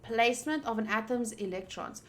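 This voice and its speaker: A woman doing casual playthrough commentary of a visual novel, reading game text aloud and thinking out loud with excitable energy. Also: a little high, moderate pace. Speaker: streamer playing a visual novel.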